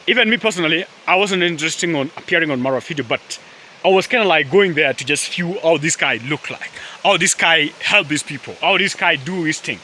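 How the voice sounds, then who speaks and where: A man's voice: a little high, fairly quick, sharp tone, slight background noise, low-quality recording. A man whose first language is Swahili, rambling in casual talk to camera as a vlogger, full of fillers and calls to his viewers, outdoors by a forest river. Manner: rambling, filler-heavy, keeps addressing viewers as guys. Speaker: YouTube vlogger.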